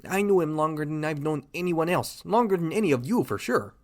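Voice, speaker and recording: A man's voice: fairly high pitch, lively intonation, a little fast. A man playing a grief-stricken golden-age speedster in a radio drama, with a slightly raised voice. He talks emotionally and with passion. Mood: grieving. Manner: emotional, impassioned. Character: the Flash, grieving 1940s male speedster hero.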